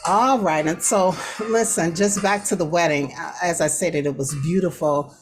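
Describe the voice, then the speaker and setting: An American woman hosting a talk show, giving casual news commentary in a conversational, unhurried way with hesitant pauses between phrases. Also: fairly low pitch, faint music behind, low-quality recording. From United States.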